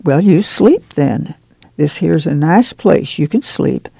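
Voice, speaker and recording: A man voicing a tired, steadfast farm mother with a soft rural drawl, in his own creaky, highish voice. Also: lively intonation, slow pace, no room sound, exaggerated voice. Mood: soothing.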